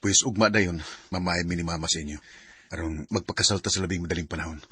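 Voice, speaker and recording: An actor with a Cebuano Filipino accent playing a young husband with a temper in a Bisaya radio drama. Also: male voice, deep voice, fairly even intonation, fast talker, very noisy, close to an everyday voice. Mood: loving, earnest. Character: young husband, sweet-talking, then lazy and quick-tempered.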